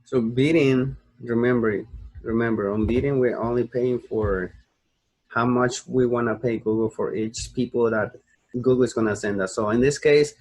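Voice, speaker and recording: A man's voice: mid-range voice, fairly even intonation, normal speed, darkish tone, husky, rough recording. A young man from the United States explains a screen-recorded tutorial, rambling through fillers and restarts and checking in with "okay?" as he goes. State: sleepy. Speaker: online marketing tutor.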